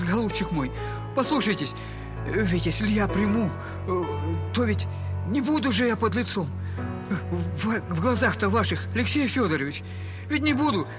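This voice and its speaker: An actor playing a humble, wounded retired captain and loving poor father in an audio drama, speaking effusively and haltingly in a servile old-fashioned way. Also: sits high. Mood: agitated, pleading.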